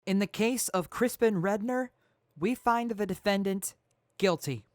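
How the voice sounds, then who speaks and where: A woman's voice: medium pitch, measured pace, no echo, studio quality, natural voice. A woman voicing a jury foreperson who reads the verdicts formally. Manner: flat, formal reading of verdicts. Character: female jury foreperson, businesslike.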